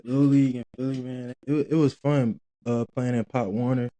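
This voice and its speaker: A man's voice: mid-range voice, steady tone, normal speed, warm tone, no echo. A young man, a former college football player chatting loosely as an interview guest, full of slang and restarts. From Philadelphia, Pennsylvania. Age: 20s. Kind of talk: casual interview talk.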